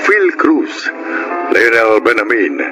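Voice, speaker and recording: Animated Filipino male radio drama announcer. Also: medium pitch, takes it slowly, rough recording. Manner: solemn, reflective, declamatory. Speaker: narrator and announcer. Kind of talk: scripted radio drama narration. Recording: loud music bed behind the voice.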